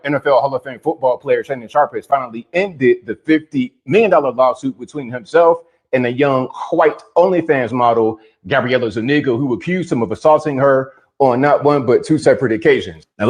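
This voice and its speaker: A man's voice: medium pitch, a little fast, few pauses. A man giving opinionated commentary on a news story, talking bluntly and directly. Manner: direct, addresses subjects bluntly.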